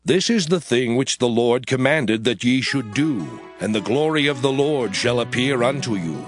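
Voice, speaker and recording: An actor playing Moses, a stern aged lawgiver giving solemn commands in a dramatized scripture reading. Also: male voice, medium pitch, animated, normal speed, over music, lightly acted.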